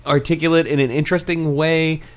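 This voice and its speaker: A man's voice: fairly high pitch, level tone, a little fast. A man hosting a podcast in a casual, informal chatting style, with some easy swearing. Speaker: podcast host.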